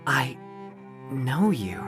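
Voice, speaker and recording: A man's voice: mid-range voice, big pitch swings, very slow, over music. A man as a dazed, childlike woman. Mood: dazed wonder. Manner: wondering, childlike questioning. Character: dazed, childlike transformed woman, innocent and curious.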